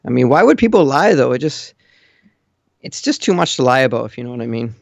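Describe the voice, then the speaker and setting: A man's voice: medium pitch, big pitch swings, fast, gravelly, no echo. A man calling in over an online voice call, chatting casually with blunt, matter-of-fact opinions. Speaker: caller living in Japan. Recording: muffled lo-fi internet call.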